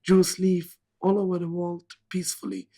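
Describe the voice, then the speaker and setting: Husky man in broken English, emphatic. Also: sits high, little pitch movement, normal speed, dark tone. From Palestine. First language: not English. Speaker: interviewee. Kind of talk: interview answers.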